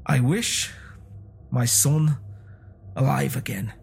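A man's voice: medium pitch, gravelly, breathy edge, exaggerated voice. Man acting a shaky, frightened old husband. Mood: weak, reluctant. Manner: shaky, halting delivery. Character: frightened, weary old husband, tender but horror-struck.

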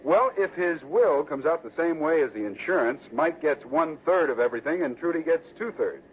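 A man's voice: on the high side, animated, fairly quick, lightly acted. Actor as hesitant American insurance man, muffled recording. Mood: explanatory. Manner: hesitant, hedging, colloquial. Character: hesitant, fair-minded, chatty male insurance salesman. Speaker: supporting actor in radio detective drama. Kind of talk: early 1960s American radio drama acting. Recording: muffled, noisy vintage radio recording.

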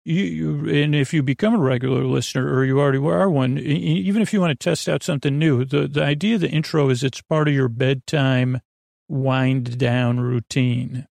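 A man with a creaky, fry-laden voice at an average pitch hosts a sleep podcast, recorded very dry. He meanders through his thoughts, hesitates and circles back. The tone is reassuring and a little silly, meant to keep listeners company at bedtime. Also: steady tone, normal speed, darkish tone, dry recording. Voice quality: gravelly, creaky. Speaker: podcast host.

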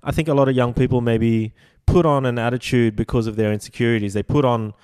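A podcast host in his forties, eager and halting, tripping over his words and padding them with "like" as he asks long questions. His pitch is ordinary for a man, and the sound is close and dry. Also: steady tone, a little mellow, clean tone, no echo. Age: 40s. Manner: enthusiastic, halting, many stumbles and "like" fillers. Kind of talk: casual interview chat.

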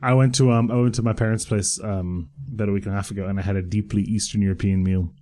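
A man's voice: fairly low pitch, steady tone, quick pace, dark tone. A young man from Croatia co-hosting a casual podcast, rambling with lots of restarts and easy laughter. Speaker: podcast co-host. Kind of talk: casual chat.

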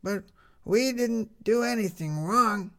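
A man voicing a streetwise, outspoken young girl in a story, blunt and drawn-out in speech. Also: high voice, a bit bright, pitched above the performer's own voice. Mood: protesting.

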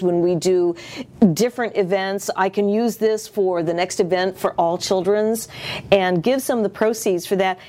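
A woman hosts a relaxed studio talk show. Her big voice is bubbly and animated, and she chats freely without a script. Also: medium pitch. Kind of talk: casual unscripted show chat.

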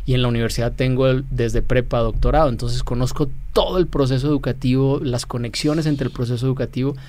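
A man's voice: medium pitch, steady tone, fast talker, a little mellow. A man in his forties, an educator and interview guest, telling his own story casually with bits of quoted dialogue. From Colombia. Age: 40s.

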